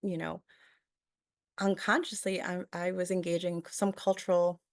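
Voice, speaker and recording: A woman's voice: on the low side, level tone, a little slow, dry recording, studio quality. A woman from Peru giving a webinar presentation, thoughtful and full of fillers, often asking "right?".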